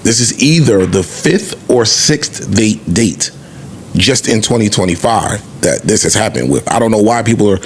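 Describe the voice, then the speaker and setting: A man's voice: fairly low pitch, lively intonation, normal speed, slight background noise. A man co-hosting a podcast, talking casually and emphatically in slangy, conversational speech about the music business. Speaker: podcast co-host. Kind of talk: casual music-industry discussion.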